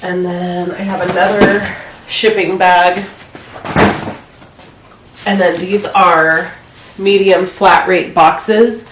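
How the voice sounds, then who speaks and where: A woman with a stuffy head cold chats casually while she clears out her home craft room. She rambles a bit and uses lots of filler words. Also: fairly low pitch, flat delivery, takes it slowly, pauses often. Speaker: crafting vlogger. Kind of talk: casual chat while decluttering. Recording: echoey room, faint noise.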